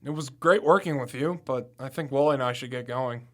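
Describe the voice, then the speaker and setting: A man's voice: mid-range voice, brisk, lightly acted. A man playing a confident young amateur campus detective in an audio drama, matter-of-fact with wry asides. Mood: wry, friendly.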